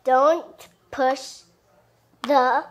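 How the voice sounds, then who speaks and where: Slow, high-voiced woman reading to children. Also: steady tone. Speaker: children's story read-aloud host. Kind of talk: casual interactive read-aloud for children.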